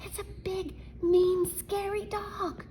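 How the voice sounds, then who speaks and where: A woman voicing a nervous, sneaky male burglar in a storybook, speaking in a high, frightened whisper. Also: little pitch movement, slow, warm tone, a little hiss or hum. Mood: scared whisper.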